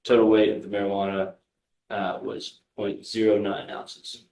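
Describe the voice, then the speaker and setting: An echoey courtroom recording of an American male prosecutor. His voice is low and very flat as he reads formally from a report, procedural and unvarying. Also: moderate pace, vocal fry. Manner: monotone, procedural.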